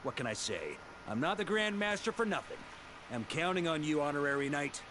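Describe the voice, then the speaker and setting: An actor playing a confident, easygoing knight commander in a video game, talking in a casual, decisive, lightly wry way. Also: male voice, fairly high pitch, sing-song, a little fast, noisy background.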